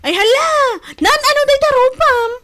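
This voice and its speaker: A woman with an Ilocano accent playing a gossipy, excitable beauty parlor owner in a comedy drama. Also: very high-pitched, normal speed. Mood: teasing gossip.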